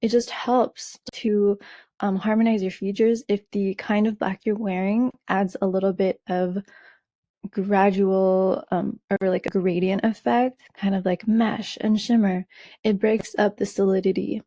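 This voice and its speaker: A woman with a slightly raspy voice hosting a color analysis lesson, explaining in a measured, friendly way with some fillers and restarts. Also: mid-range voice, flat delivery, dark tone, dry recording. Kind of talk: explaining over a slideshow.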